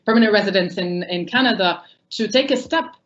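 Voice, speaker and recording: A woman's voice: mid-range voice, fairly quick, dull audio. A woman whose first language is not English, speaking earnestly on a panel with many ums and self-corrections. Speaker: panelist from a refugee employment nonprofit. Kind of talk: panel discussion remarks.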